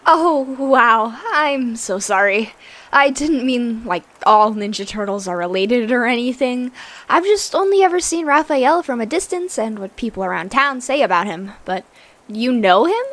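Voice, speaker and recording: A woman voicing an upbeat, excitable young female mutant lizard, a chatty idealist, in a comic dub. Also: fairly high pitch, moderate pace, lightly acted. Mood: awed, excited.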